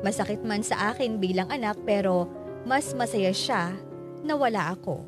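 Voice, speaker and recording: A woman playing a hurt, weary young daughter, confiding her story slowly and reflectively in a radio drama narration. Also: medium pitch, lightly acted. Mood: pained longing. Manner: sorrowful, slow, reflective confiding. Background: clear music under the voice.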